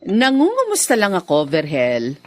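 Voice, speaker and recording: Haughty, swooping domineering wife. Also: female voice, lowish voice, huge pitch swings, moderate pace, dry recording. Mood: sweetly inquisitive. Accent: Filipino. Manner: haughty, scolding. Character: defiant, manipulative, domineering wife and mistress. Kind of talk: Filipino radio drama acting.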